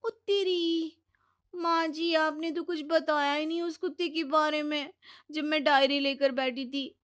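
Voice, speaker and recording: A woman plays a young daughter-in-law from a moral-story cartoon, pitched very high. She is eager to please and oblivious, gushing and exclaiming with theatrical, boastful flair. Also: steady tone, a little slow, fairly bright tone. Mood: whiny, indignant.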